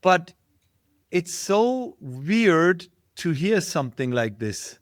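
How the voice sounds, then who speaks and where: A man's voice: on the high side, big pitch swings, takes it slowly, no room sound, studio quality. A middle-aged man with a German accent, a guest in an interview, thinking aloud and searching for his words. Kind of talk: casual interview talk.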